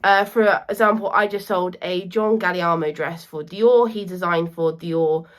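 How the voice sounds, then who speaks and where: UK woman reseller, lowish voice, practical and instructive, quick asides. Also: steady tone. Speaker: co-host, luxury reseller. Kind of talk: casual explaining to camera.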